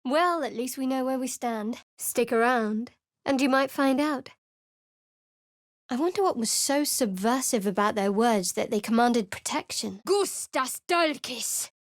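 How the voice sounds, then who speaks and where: A woman playing a guarded, wry, secretive young cleric adventurer in a fantasy video game, with a cool, measured, sardonic delivery. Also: on the high side, animated, no room sound, studio quality. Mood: forceful incantation.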